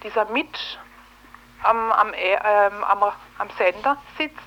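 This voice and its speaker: A woman's voice: medium pitch, takes it slowly, highs cut off. An outspoken, opinionated woman arguing colloquially in a casual radio discussion, often ending phrases with tag questions. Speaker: radio station editorial staff member calling in.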